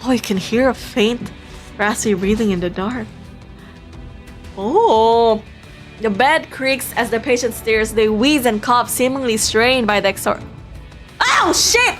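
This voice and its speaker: A woman's voice: fairly high pitch, expressive, measured pace, pauses often, a bit bright, soft background music. A woman live-streaming a visual novel, reading it aloud expressively and playfully, breaking off into exclamations.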